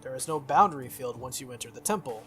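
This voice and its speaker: A man's voice: mid-range voice, animated, a bit bright, faint music behind, close to an everyday voice. Man reading as a formal, earnest female knight. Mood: firm, explanatory. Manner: stiff, formal, measured. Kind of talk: visual novel character read aloud.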